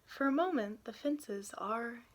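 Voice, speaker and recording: Young woman, slightly high pitch, children's read-aloud, informal storytelling. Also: animated, slight background noise. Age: young adult. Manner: informal, pauses to point out illustrations. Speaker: intern reading a picture book aloud.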